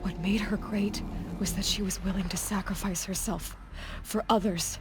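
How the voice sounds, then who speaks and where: Game casting: resolute young-hearted heroine played by a woman, medium pitch, earnest and compassionate, scored. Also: fairly even intonation, normal speed, soft background music, close to an everyday voice. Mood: grieving, defiant. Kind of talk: dramatic video game cutscene acting.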